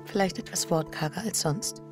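An actress in a German radio drama playing an anxious, guilt-ridden wife in breathy, introspective inner monologue. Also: female voice, fairly low pitch, normal speed, music in the background, natural voice. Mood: defensive. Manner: introspective, breathy, often near whisper. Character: anxious, guilt-ridden married woman having an affair.

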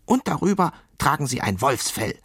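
A man's voice: mid-range voice, sing-song, normal speed, fairly bright tone, breathy edge, no room sound, studio quality, pitched above the performer's own voice, full character voice. A man voicing a snippy, bold young female sheep who wants to be a pirate in a children's audio story. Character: cheeky, defiant young female sheep dreaming of piracy.

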